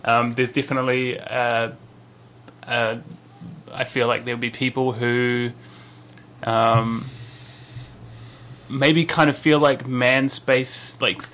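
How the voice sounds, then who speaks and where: A man's voice: mid-range voice, level tone, slow, long pauses, fairly bright tone, slight background noise. Hesitant man guest, lots of ums. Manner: hesitant, many ums, thinking aloud. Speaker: show collaborator and guest. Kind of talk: casual interview chat.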